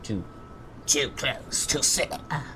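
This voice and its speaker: A man streaming a visual novel, reading it aloud with profane, exasperated asides and stumbling over words. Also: slow. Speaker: gaming streamer. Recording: rumbly background noise.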